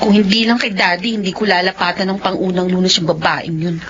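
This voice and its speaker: An actress with a Filipino accent playing a bitter, wounded young doctor and daughter in an emotional radio drama. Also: female voice, fairly low pitch, steady tone, fast talker, fairly bright tone, slight background noise, natural voice. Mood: angry, tearful. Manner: emotional, melodramatic. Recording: muffled radio broadcast over music.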